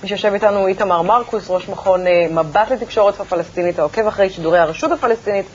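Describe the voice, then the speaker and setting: An Israeli woman hosts a conversational TV interview. Her voice sits at a middling pitch, on a dull broadcast recording with a faint layer of noise. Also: darkish tone. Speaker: television interviewer, host. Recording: muffled, noisy TV broadcast recording.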